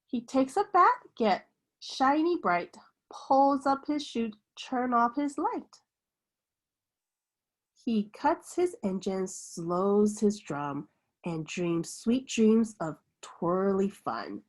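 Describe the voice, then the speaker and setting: A woman reading a children's picture book aloud like a teacher, with an expressive, sing-song bedtime delivery. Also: a little high, takes it slowly.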